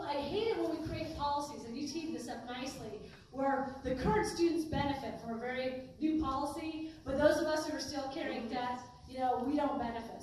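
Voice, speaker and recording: A woman running for Congress, answering audience questions at a town hall in an earnest, conversational way, turning emphatic when she defends herself. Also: high voice. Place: community town hall meeting room. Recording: echoey hall, voice from far off, noisy.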